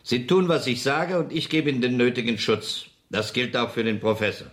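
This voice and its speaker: An actor playing a smug, menacing gangster boss in a German radio crime drama, coolly mocking and commanding. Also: male voice, mid-range voice, fairly quick, natural voice. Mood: cold, domineering.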